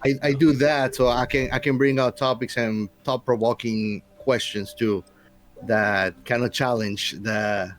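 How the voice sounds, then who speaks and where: A man's voice: mid-range voice, fairly even intonation, measured pace. A man being interviewed as a guest, explaining things in an informal, casual conversational way.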